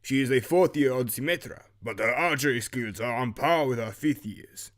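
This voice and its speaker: A man's voice: medium pitch, normal speed, lightly acted. Man acting a solemn, formal archery master. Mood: formal pride. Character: formal, grave male archery master, Zorin. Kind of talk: audio drama acting.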